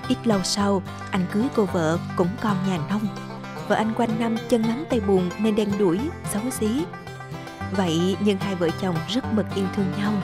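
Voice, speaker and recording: A woman giving scripted storybook narration as the narrator of an animated folktale. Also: mid-range voice, normal speed, over music.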